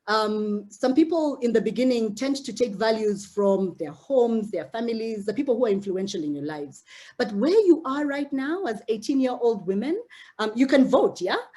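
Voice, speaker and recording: A woman's voice: a little high, animated, normal speed, darkish tone. A middle-aged woman from Uganda giving an earnest, direct talk to young women she mentors, often repeating words as she goes. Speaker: mentor speaking to a mentee cohort. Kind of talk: motivational talk.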